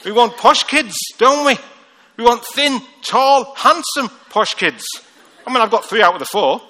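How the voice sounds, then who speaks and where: A man with a Liverpool accent preaching a lively, joking sermon and reading scripture with acted voices. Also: extremely high pitch, measured pace. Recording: long hall reverb.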